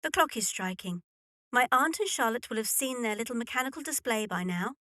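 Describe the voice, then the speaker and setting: A woman's voice: on the high side, expressive, fairly quick, bright, forceful tone, dry recording, clean studio sound. A woman playing a wealthy, self-possessed young lady in a period drama, speaking in a formal, arch and decided manner. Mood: arch, composed. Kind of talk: period radio drama acting.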